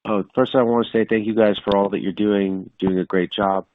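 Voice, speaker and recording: Male caller, low register, informal and unscripted, narrow telephone sound with no room. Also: fairly even intonation, brisk, slight background noise. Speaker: caller asking a question. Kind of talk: casual unscripted question. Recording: dry, narrow phone line.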